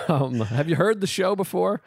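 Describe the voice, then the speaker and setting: A man's voice: sits high, big pitch swings, measured pace, breathy edge, no room sound, clean studio sound. Joking, fretful podcast host man. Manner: joking, swears, fretful about audio. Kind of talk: casual podcast interview.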